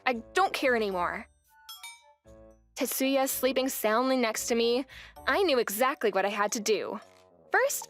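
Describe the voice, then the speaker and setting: Wronged young wife, played by a woman, very high voice, indignant, expressive, manga dub narration, faint music. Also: lightly acted. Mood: resolute. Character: wronged young wife, exasperated yet resolute, telling her story.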